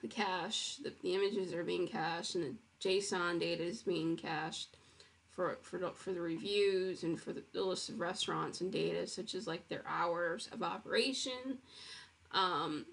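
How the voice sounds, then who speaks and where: A woman with a lowish, gravelly and husky voice talks through a software demo over her screen recording. She explains in a casual, unpolished way, pausing with ums and restarting phrases. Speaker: web developer presenting her project.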